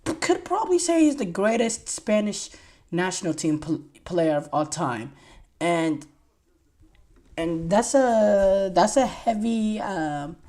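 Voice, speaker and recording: A man's voice: high voice, sing-song, slow, a little mellow. A twenty-year-old man hosting a solo sports podcast, talking in a rambling, enthusiastic way with lots of fillers and restarts. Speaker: podcast host.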